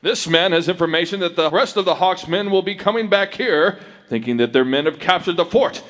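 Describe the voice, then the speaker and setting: An actor playing a heroic masked Western lawman, calm and commanding, giving steady instructions in live radio-drama style. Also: sits high, fairly quick.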